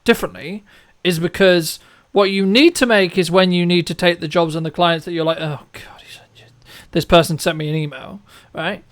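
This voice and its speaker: A man's voice: high voice, fairly even intonation, normal speed. A man hosting an interview and advising like a coach, emphatic and repetitive, often checking that the listener follows. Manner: emphatic, repetitive, punctuates points with checking tags. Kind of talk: conversational interview, advising viewers.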